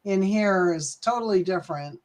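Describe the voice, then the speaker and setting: Woman, deep voice, chatty live-stream co-host, easy laugh. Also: moderate pace, darkish tone. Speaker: co-host of a live channel chat. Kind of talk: casual live-stream chat.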